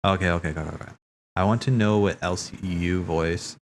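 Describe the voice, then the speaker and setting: A man's voice: sits low, steady tone, normal speed. A man hosting a livestream, talking in a casual, slangy, rambling way as he thinks aloud. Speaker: livestream host. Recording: background music.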